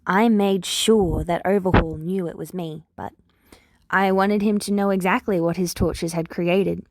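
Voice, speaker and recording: A woman's voice: lowish voice, steady tone, normal speed, natural voice, rough recording. Woman acting an earnest, firm young heroine student. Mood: resolute. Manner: prompt, firm, wry.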